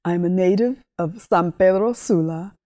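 A woman in her sixties, originally from Honduras with Spanish as her native language, speaks in a slightly low voice. She recounts her life with animated warmth, often breaking into laughter. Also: moderate pace. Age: 60s. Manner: warm, animated storytelling with laughs. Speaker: guest, ministry leader. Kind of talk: interview talk.

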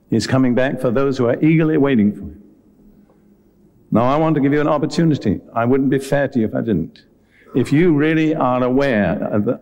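A man preaching a sermon to a congregation, speaking earnestly and deliberately and addressing his listeners directly. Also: mid-range voice, a little mellow. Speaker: visiting preacher. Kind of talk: sermon with Bible teaching and altar call. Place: live before a congregation at a meeting.

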